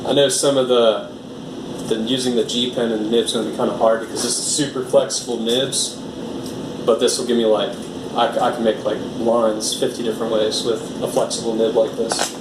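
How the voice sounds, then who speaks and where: A man's voice: medium pitch, level tone, moderate pace, recorded from a distance, background noise. A man from the United States chats loosely through an unboxing, full of "uh"s and restarts. His voice sits back from the mic in a roomy, echoing space. An air conditioner hums steadily behind him. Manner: rambling, filler-heavy, easygoing. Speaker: art vlogger. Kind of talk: casual unboxing chat. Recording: echoey room, voice off-mic.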